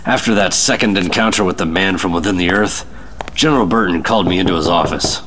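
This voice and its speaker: An actor playing an earnest, excitable government agent in an old-time style radio drama. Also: male voice, deep voice, quick pace, a little hiss or hum, low-quality recording. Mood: storytelling. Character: earnest, excitable male government secret agent telling his story.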